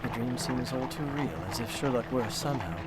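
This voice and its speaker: An actor playing a devoted, gallant Victorian doctor in a radio drama, with a theatrical, commanding manner. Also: male voice, mid-range voice, brisk, heavy background noise, natural voice. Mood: tender, wistful. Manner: theatrical, urgent. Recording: loud dramatic music bed. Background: music.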